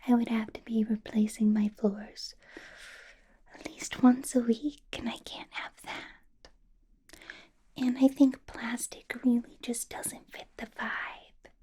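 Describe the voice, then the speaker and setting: A woman's voice: fairly high pitch, fairly even intonation, takes it slowly, warm tone, husky, exaggerated voice. Woman whispering as a teasing vampire boss. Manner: whispered throughout, teasing, menacing, playful laughs. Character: centuries-old female vampire boss, teasing, possessive. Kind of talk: ASMR roleplay.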